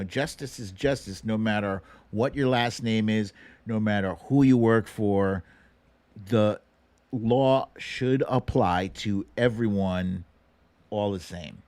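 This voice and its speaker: Guy doing a political livestream, sarcastic n worked up, sweary, slow talker w big pitch swings. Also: no echo. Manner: sarcastic, indignant, profane. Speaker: livestream host. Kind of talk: casual political commentary to a live chat.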